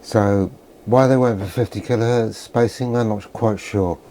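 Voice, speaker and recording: Older British male, lowish mellow voice, sardonic grumbler, unscripted vlog delivery. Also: steady tone, moderate pace, slight background noise. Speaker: presenter of a vintage radio video. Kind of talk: rambling unscripted vlog commentary.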